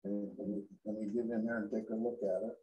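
Dark-voiced man explaining in a workshop. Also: on the low side, flat delivery, fast talker. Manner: explains step by step while working. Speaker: woodworking show host. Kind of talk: casual workshop demonstration. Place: woodworking shop indoors. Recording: echoey workshop.

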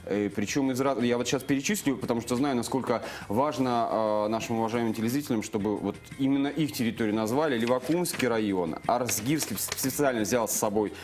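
A man's voice: mid-range voice, a little fast, hardly any pauses, a little mellow. A man in his thirties, a guest in a TV studio, chatting casually with many fillers and restarts on a lapel mic. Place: TV news studio.

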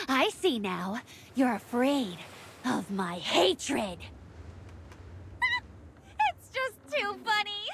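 A woman's voice: extremely high pitch, bright, forceful tone, a little hiss or hum, full character voice. An actress playing a deranged, vengeful young woman in a video game, with a theatrical, mocking, sing-song menace. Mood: gleeful bitter. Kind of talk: video game character acting.

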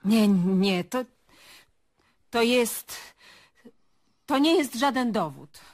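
A woman's voice: a little high, expressive, drawn-out words. An actress playing an evasive woman journalist in a radio drama, cornered and indignant in her denials. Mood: rattled, indignant. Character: defensive, evasive female journalist cornered as murder suspect. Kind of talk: Polish radio drama acting.

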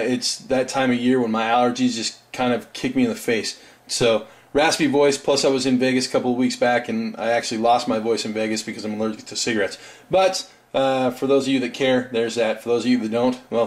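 A man in his thirties with a raspy voice, hosting a casual tabletop terrain review for his viewers, loose and chatty. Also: medium pitch. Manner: loose and chatty, full of fillers and asides. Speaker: tabletop hobby channel host.

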